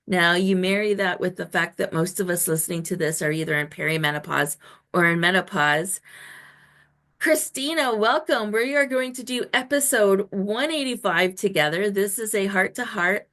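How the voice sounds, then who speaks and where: A woman's voice: mid-range voice, animated, moderate pace, a bit bright. Effusive woman podcast host in her fifties. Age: 50s. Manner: enthusiastic, effusive, informal. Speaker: show host and health coach. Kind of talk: chatty podcast interview hosting.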